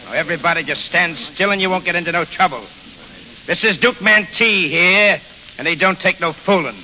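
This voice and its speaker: A man's voice: high voice, normal speed, bright, forceful tone. An actor playing a brash, trigger-happy gangster henchman with a tough, slangy bark, in 1930s radio style. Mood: barking, threatening. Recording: muffled, hissy 1930s radio recording.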